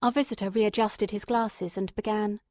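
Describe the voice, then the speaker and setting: A woman's voice: mid-range voice, fairly even intonation, moderate pace, darkish tone, no echo. A woman reading scripted literary narration as the storyteller.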